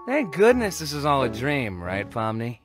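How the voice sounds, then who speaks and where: A man voicing a smug, teasing cartoon rabbit in an animated series, with a sarcastic, flippant drawl. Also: medium pitch, normal speed. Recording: music behind the dialogue.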